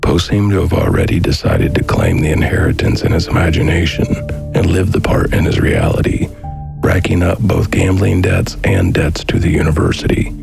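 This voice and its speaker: A man reading scripted documentary narration in a measured, formal manner. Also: sits low, sing-song, gravelly. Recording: faint music behind the voice. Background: faint background music.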